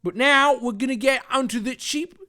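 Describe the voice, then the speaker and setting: A man voicing a scheming, hateful male villain in a put-on Cockney accent, loud and stumbling over words. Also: very high-pitched, normal speed, voice pushed up, full character voice.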